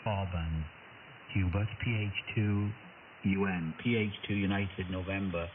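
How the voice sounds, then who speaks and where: A man with a fairly low voice hands over on amateur radio. The sound is phone-like and narrow, with clear hiss throughout. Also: steady tone, measured pace. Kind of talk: radio call handover.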